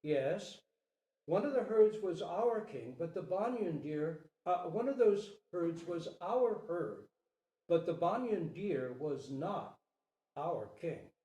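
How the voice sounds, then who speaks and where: A man voicing a patient, gentle mother deer explaining things to her child in a storybook reading. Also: high-pitched, expressive, slow pace. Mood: reassuring. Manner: explanatory, reassuring. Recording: roomy, distant microphone.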